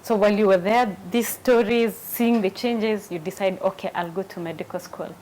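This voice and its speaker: Female talk-show host, mid pitch, attentive, encouraging questions. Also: fairly even intonation, normal speed, a little mellow, a little hiss or hum, rough recording. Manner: attentive, frequent affirming murmurs. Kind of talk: interviewing, health talk show.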